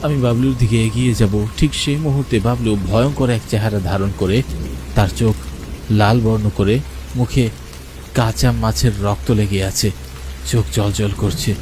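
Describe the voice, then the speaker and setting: A man's voice: fairly low pitch, steady tone, moderate pace, noisy background. A man narrating a first-person ghost story for a cartoon in a dramatic way. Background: music under the voice.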